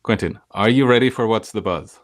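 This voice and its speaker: Upbeat low-voiced male live show host. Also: fairly quick, dry recording, clean studio sound. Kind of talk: live show hosting, casual.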